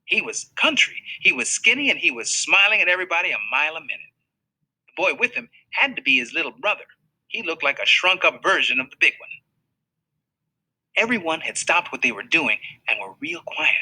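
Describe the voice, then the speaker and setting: A man reading a children's novel aloud in an expressive storytelling manner. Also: on the high side, a little fast, breathy edge, poor audio. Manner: expressive storytelling, voices for characters. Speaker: reader.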